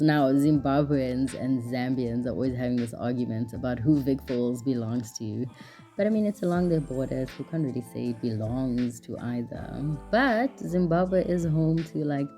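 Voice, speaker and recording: A woman's voice: extremely low pitch, dark tone. A young woman from South Africa narrating her travel vlog in a chatty, casual way, full of "like" and light laughs. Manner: chatty, lots of "like", laughs often. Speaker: vlogger.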